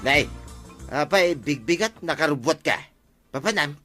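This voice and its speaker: A man's voice: a little high, expressive, measured pace, music in the background. A man playing a stingy, blustering village husband in an Ilocano radio comedy drama, grumbling and exclaiming with an Ilocano accent. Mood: surprised, mocking.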